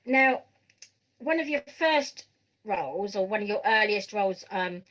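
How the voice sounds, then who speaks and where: A woman's voice: mid-range voice, expressive, measured pace, fairly bright tone, rough recording. A woman hosting a podcast, asking questions in a conversational interviewing style.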